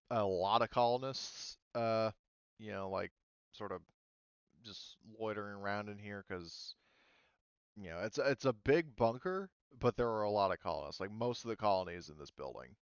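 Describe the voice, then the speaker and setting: A man casually narrating a tabletop roleplaying game as game master, speaking haltingly as he searches for words. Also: on the low side, lively intonation, clear, resonant tone, no room sound, dull audio.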